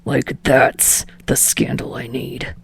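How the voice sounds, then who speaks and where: A woman voicing a surly, foul-mouthed young male actor in a gruff, breathy mutter for a story reading. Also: slow, close to an everyday voice. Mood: disgusted. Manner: muttering, spitting words out through clenched teeth.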